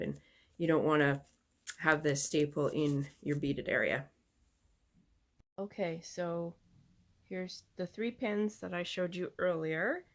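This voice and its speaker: A woman from Canada giving a relaxed, step-by-step craft tutorial, explaining as she goes with small fillers. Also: low-pitched. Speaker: beading instructor.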